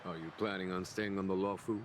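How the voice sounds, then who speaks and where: A man's voice: low voice, steady tone, fast, slight background noise, close to an everyday voice. An actor playing a composed, courteous man of authority in a game, speaking formally. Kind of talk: scripted game voice acting.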